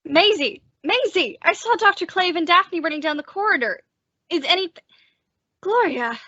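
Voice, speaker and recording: A woman's voice: very high voice, lively intonation, normal speed, sharp tone, dry recording, lo-fi sound, exaggerated voice. A woman playing an eager, lovestruck young husband in an old-time radio drama. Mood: anxious.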